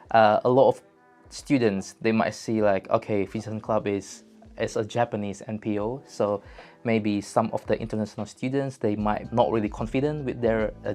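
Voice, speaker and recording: Hesitant young man. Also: on the low side. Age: young adult. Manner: hesitant, many fillers, relaxed. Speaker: intern interviewee. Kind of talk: casual interview answers. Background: faint music.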